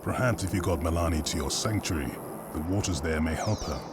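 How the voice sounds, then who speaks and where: An actor playing a grave, stern elder guardian in a video game cutscene, speaking solemnly. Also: male voice, low voice, fairly quick, heavy background noise, natural voice.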